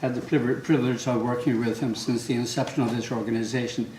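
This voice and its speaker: An older man with a Swedish accent, opening a conference with relaxed, joking remarks and many fillers. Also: mid-range voice, quick pace. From Sweden. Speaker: conference host. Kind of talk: welcoming remarks. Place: large lecture hall. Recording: echoey hall, faint background hum.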